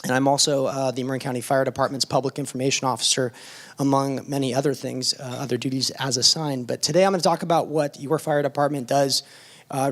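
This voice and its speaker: A man serving as a fire department battalion chief, giving an informal presentation at a public forum with a hoarse, worn voice. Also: mid-range voice, a bit bright. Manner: informal, with frequent ums and uhs. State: hoarse, losing his voice.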